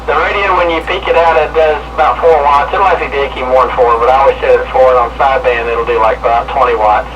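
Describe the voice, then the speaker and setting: A middle-aged man chats casually over a two-way radio. His mid-pitched voice sits far from the mic. Clear radio noise runs through the whole transmission. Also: steady tone, fast talker, hardly any pauses, muffled recording. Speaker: CB radio hobbyist. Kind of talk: casual radio check-in chat about equipment. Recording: distant, loud CB radio static and noise.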